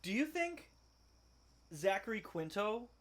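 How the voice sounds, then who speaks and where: Brief: male co-host, slightly high voice, eager, chatty. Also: sing-song, slow, over a noisy crowd. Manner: eager, jumps in with ideas, agreeable. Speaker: podcast co-host. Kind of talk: casual chat.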